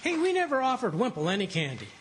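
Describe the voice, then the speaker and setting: A woman's voice: fairly high pitch, wildly swooping pitch, fast talker, a loud crowd, heavy background noise. A woman playing a blustery, scheming husband in an old-time radio comedy, folksy and evasive. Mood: joking. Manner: folksy, blustering, hesitant when cornered.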